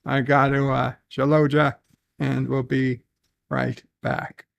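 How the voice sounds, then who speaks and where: A man's voice: medium pitch, flat delivery, slow pace. A man from New hosting a casual stream, reading viewer messages and rambling with many self-corrections. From New York City. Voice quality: gravelly. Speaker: stream host.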